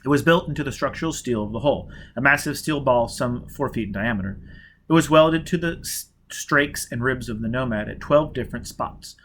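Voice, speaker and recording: A man narrating a science fiction novel as an audiobook, with steady, dramatic pacing. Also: mid-range voice, fairly quick, a little hiss or hum.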